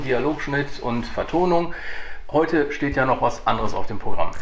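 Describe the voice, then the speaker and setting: A man's voice: medium pitch, background noise. A man, around his forties, talking informally and a little hesitantly as a vlogger explaining his work. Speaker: vlogger and audio drama producer. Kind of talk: casual vlog explanation.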